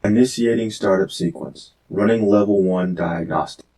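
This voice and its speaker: A man voicing a flat, formal robot computer through a pitch-shifted, layered robot effect, like a sci-fi system announcement. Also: moderate pace, rough recording. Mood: flat, mechanical. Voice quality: deep, processed.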